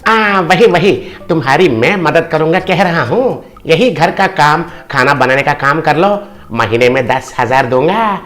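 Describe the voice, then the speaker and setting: An actor playing a greedy, lecherous rich village president, an insinuating and domineering villain in an animated moral story. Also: male voice, on the high side, a little slow, soft background music. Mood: oily, coaxing.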